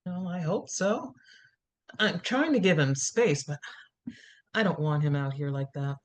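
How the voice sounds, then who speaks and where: A woman's voice: deep voice, animated, unhurried. A woman playing a blunt, bossy, worried mother in a screenplay reading. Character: scolding, worried mother of a troubled boy. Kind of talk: live staged screenplay reading.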